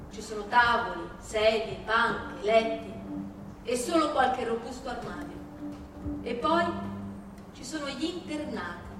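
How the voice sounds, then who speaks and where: A woman narrating a theatrical dramatic reading in a deliberate, declamatory manner. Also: on the high side. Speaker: stage narrator. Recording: echoey hall, distant, music behind.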